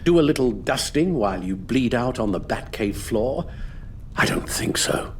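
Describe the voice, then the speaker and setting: An actor playing an elderly British butler in a video game, formal and measured, weary and full of sorrow. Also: male voice, mid-range voice, expressive, slight background noise, natural voice. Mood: pained reproach. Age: older. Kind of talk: dramatic video game acting.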